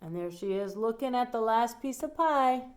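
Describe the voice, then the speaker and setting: A woman's voice: a little high, expressive, normal speed, smooth. Lady doing storytime for kids, reads it expressively n adds little explanations as she goes. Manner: explains the story in simple asides. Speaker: storyteller reading aloud to children. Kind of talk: expressive children's picture book read-aloud with asides.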